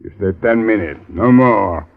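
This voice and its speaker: Radio drama: stubborn, bossy police sergeant, halting English, very animated pitch, lo-fi vintage sound. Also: male voice, gravelly. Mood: stubborn, defiant. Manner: curt, halting, imperious English. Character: stubborn, authoritarian Nicaraguan police sergeant guarding a prisoner. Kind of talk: old-time radio acting. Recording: muffled old radio recording.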